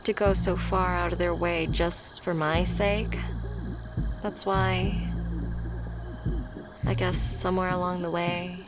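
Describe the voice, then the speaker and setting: A woman playing a shy, socially awkward young friend in a romantic ASMR roleplay, speaking softly and hesitantly with stammers, tender and easily flustered. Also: fairly low pitch, little pitch movement, slow, pauses often. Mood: nervous, tender confession. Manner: soft, hesitant, stammering, sighing. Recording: prominent music behind the voice. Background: music.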